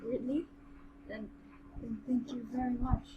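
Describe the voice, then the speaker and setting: A woman voicing a dying young girl, humble and resigned, speaking softly and haltingly in an amateur audio drama reading. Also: on the high side, flat delivery, phone-quality sound, pitched above the performer's own voice, close to an everyday voice. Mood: tearful gratitude. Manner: soft, halting, sighing. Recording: clearly noisy room recording.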